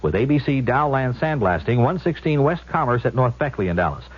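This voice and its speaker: A man's voice: mid-range voice, very animated, fairly quick, slightly rough. A man reading a scripted advertisement as a 1970s radio announcer. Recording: dull old radio broadcast recording.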